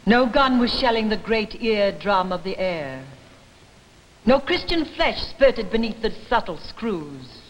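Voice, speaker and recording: A woman giving a staged poetry reading in deliberate, theatrical phrasing, recorded for a 1960s broadcast. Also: mid-range voice, sing-song, a little hiss or hum. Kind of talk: dramatic poetry recitation. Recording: reverberant hall, 1960s broadcast.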